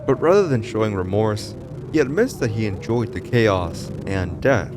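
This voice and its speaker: A man's voice: on the low side, expressive, moderate pace. A man reading scripted narration for a horror story explainer in a dramatic, ominous storytelling manner. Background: music behind the voice.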